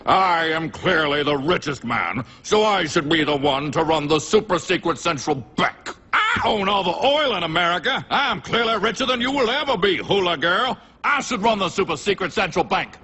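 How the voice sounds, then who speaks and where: A man's voice: high voice, very animated, normal speed, sharp tone, husky, soft background music, heavily acted. A man voicing a boastful, petty rich oil tycoon who brags, squabbles and fawns. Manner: bragging, squabbling, fawning. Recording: long hall reverb.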